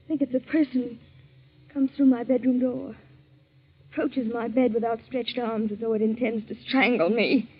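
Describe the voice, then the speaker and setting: An actress playing a suspicious, frightened bedridden wife in a 1940s radio mystery, intense and melodramatic. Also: female voice, a little high, breathy edge. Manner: intense, melodramatic, tremulous when afraid. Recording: dull vintage 1940s radio recording.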